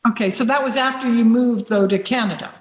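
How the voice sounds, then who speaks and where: Higher-voiced woman in a roomy space. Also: fairly quick. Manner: curious, encouraging questions. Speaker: summit host interviewer. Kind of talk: interview questions.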